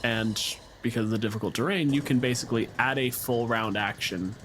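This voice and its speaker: A man runs a tabletop game in an average-pitched voice. He describes things casually and freely, and faint noise sits behind him. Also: brisk, a bit bright. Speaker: game master. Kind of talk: narrating an unscripted tabletop game.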